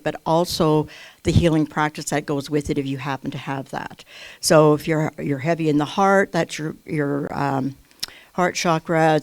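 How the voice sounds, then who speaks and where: A woman's voice: on the low side, no echo. A woman, a visiting minister, talking casually to a congregation, chatty and prone to stumbling over her words and correcting herself. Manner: chatty, warm-hearted, loses her place and corrects herself. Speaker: guest minister at a spiritual center. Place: church hall. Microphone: handheld mic.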